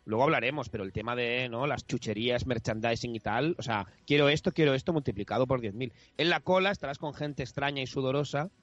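A man co-hosting a casual podcast, laid-back and quick to laugh. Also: mid-range voice, no room sound, soft background music. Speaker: podcast co-host reading chat. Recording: dry, dull lo-fi sound.

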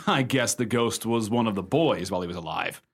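A man's voice: on the low side, animated, normal speed, gravelly, breathy edge, exaggerated voice. An actor playing a jovial, wry American man spinning tales for friends in a radio play, playfully theatrical. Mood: teasing, storytelling. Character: wry, jovial American male raconteur telling friends a ghost story.

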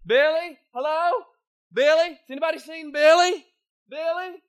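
A man voicing a young woman who calls out slowly and desperately for her husband in a dark mine. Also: very high-pitched, voice pushed up. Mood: calling out. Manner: drawn-out desperate calling.

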